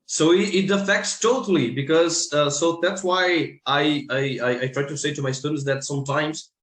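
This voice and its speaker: A man from Brazil with a Brazilian accent and Portuguese as his native language, talking informally as an English teacher in a halting, repetitive way. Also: on the high side, steady tone, fairly bright tone. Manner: halting, repeats phrases. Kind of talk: informal interview discussion. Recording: roomy, echoing sound.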